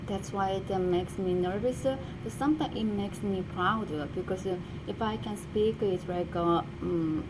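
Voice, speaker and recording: A woman from Korea with a Korean accent, a college student answering interview questions in halting English, searching for words with many fillers. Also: mid-range voice. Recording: noticeable background noise.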